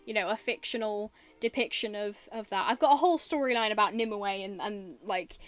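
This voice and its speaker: A woman's voice: medium pitch, a little fast, a bit bright, faint music behind. A young Welsh woman chatting casually on a podcast about her writing, rambling and trailing off with fillers. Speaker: podcast guest, author. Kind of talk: casual chat.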